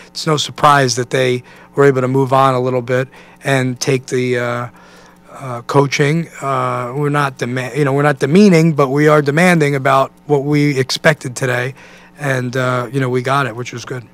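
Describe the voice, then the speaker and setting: An American man in his forties, a basketball coach answering press questions in a halting, conversational way, often saying "you know.". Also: mid-range voice, fairly bright tone. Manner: halting, thinking aloud, peppered with 'you know'. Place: indoor press conference room. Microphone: microphone on a stand at a table.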